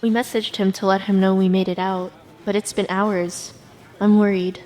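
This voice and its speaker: A woman's voice: medium pitch, level tone, moderate pace, a little mellow, natural voice. A young woman voicing a worried, take-charge leader of a group on the run in a webtoon fan dub. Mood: tired, worried.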